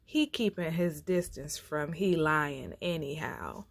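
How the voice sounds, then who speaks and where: A woman reading folktales aloud for children as a storyteller, with deliberate, theatrical phrasing. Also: sits low, no echo. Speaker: storytime reader.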